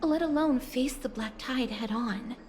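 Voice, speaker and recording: High-pitched, courteous young noblewoman played by an actress. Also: measured pace, a little hiss or hum, natural voice. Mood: solemn, grave. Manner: polite, formal, soft-spoken. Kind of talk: video game voice acting.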